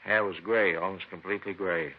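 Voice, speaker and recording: A self-assured, easily indignant hotel manager with a lowish voice. He speaks colloquially and emphatically, with stumbling starts, in old radio drama style. The sound is muffled. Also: steady tone, fairly quick, a little hiss or hum. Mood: indignant, sure. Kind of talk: 1950s radio drama acting. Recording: muffled vintage radio recording.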